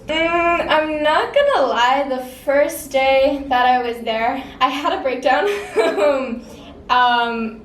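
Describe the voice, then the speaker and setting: A woman's voice: fairly high pitch, reverberant, far from the mic, slight background noise, low-quality recording. A sixteen-year-old girl from the Czech Republic, an exchange student answering interview questions casually with lots of "like" and "um". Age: teens. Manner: casual, many fillers like "like" and "um".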